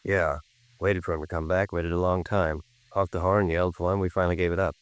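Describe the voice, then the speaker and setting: Deep male voice, actor as shifty thirty-something ex-con, hurried slangy speech, defensive, vintage radio crime drama. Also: little pitch movement, smooth, no echo, lightly acted. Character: evasive, grumbling ex-convict crime suspect in his thirties.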